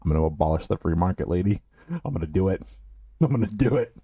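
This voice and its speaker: A man with a deep voice casually commenting on a game as he streams, reading its text aloud between asides. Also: expressive, fast, dark tone, breathy edge, no echo. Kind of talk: casual let's-play commentary.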